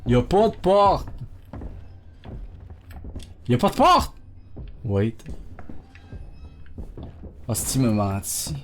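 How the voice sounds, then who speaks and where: A man with a Quebec French accent, an excitable streamer swearing often and talking to his chat during live gameplay commentary. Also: medium pitch, extremely slow, pauses often, a little hiss or hum.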